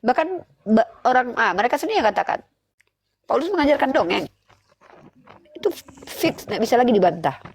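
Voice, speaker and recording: A woman's voice: on the high side, very animated, normal speed, pauses often, breathy edge. A woman hosting a live religious debate stream, blunt and challenging, with a slight head cold. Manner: blunt, challenging, sure of herself.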